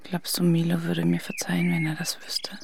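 An actress playing a wounded, haunted woman in a radio play, speaking in an intimate, breathy half-whisper. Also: lowish voice, monotone, moderate pace, darkish tone, slight background noise. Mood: hushed, sorrowful.

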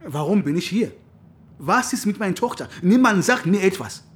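A bewildered, distraught father being questioned by police in a radio drama. He stammers and halts, and his pitch swings widely from word to word. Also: expressive. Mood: anxious, insistent. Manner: halting, stammering.

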